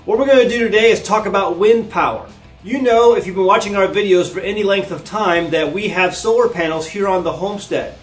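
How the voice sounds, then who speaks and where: A man's voice: high voice, brisk, faint music behind. An American man in his thirties hosting a homesteading vlog, casually explaining a product to viewers. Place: living room with wood floor and large windows. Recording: roomy indoor echo.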